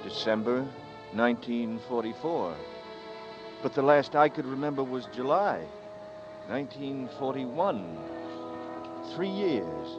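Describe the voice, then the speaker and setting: A man's voice: mid-range voice, lively intonation, slow pace, long pauses. A dazed man tells of his lost years in tense, brooding narration. His voice sits mid-range for a man. Music runs beneath, and the old broadcast sounds muffled. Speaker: narrator and lead. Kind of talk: 1940s radio drama narration.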